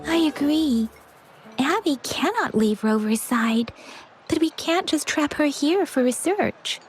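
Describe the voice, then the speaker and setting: An inquisitive young woman in a video game. Her high voice is earnest and explanatory, set over faint music. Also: lively intonation, slow. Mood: earnest, reassuring.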